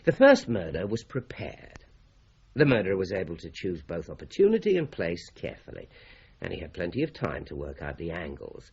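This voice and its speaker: A man playing a shrewd, methodical British police inspector in a radio drama, brisk and authoritative. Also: low voice, wildly swooping pitch, gravelly, darkish tone, husky, full character voice. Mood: thoughtful, dogged. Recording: slightly dull radio drama recording.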